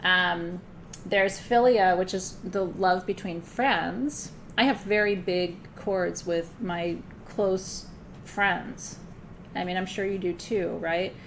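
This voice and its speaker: A woman's voice: fairly low pitch, slow, a little hiss or hum, rough recording. A woman teaching a live spiritual class in a loose, conversational way, often checking in with her listeners. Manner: loose, frequent fillers and restarts.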